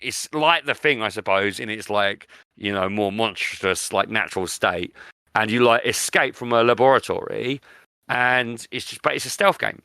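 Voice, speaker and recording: A British man talks casually as a podcast host in a lowish, creaky voice. He is enthusiastic and repeats words to hammer points home, with a crisp, forward edge to the sound and a dry, close recording. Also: moderate pace, pushed and bright, no room sound. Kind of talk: casual chat.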